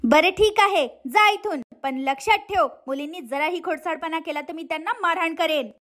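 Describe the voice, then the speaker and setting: A woman's voice: very high voice, moderate pace, a bit bright, voice pushed up. A woman voicing a cruel, short-tempered aunt in a cartoon moral story, scolding and threatening. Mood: grudging, irritated.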